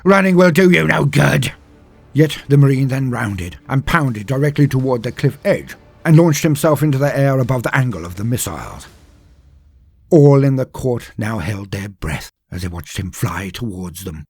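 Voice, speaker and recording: Male lore narrator: husky, swooping dramatic delivery, emphatic and theatrical, light music bed. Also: mid-range voice, expressive, normal speed, a little mellow, no room sound. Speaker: storyteller and lore channel host.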